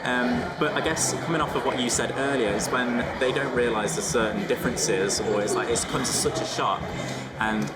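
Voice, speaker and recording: A man's voice: medium pitch, steady tone, normal speed. A young man speaking casually and reflectively as a podcast guest, hedging often with "I guess" and "sort of". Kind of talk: casual discussion. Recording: loud background noise.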